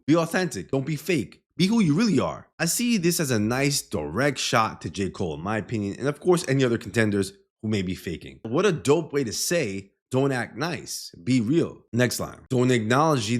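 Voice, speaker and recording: A man giving explainer commentary in an emphatic, opinionated way, often quoting and paraphrasing lines as he goes. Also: mid-range voice. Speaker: music commentator and host. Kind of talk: explainer commentary breaking down rap lyrics.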